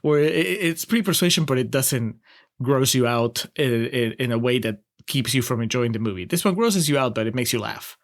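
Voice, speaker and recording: A man's voice: mid-range voice, expressive, brisk. A man with a Peruvian accent co-hosting a casual film review podcast, wry and sarcastic, often breaking into laughter. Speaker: podcast co-host.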